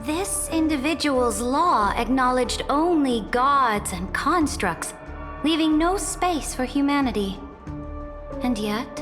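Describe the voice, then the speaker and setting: Female emperor, cold, proud, formal declamation, unhurried, high voice, video game. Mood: haughty curiosity. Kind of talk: dramatic video game voice acting. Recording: background music under the voice.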